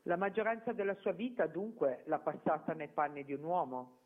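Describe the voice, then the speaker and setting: A man's voice: a little high, steady tone, moderate pace, close to an everyday voice. A man playing an interviewer, asking polite, probing questions. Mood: curious. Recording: tinny phone-like sound.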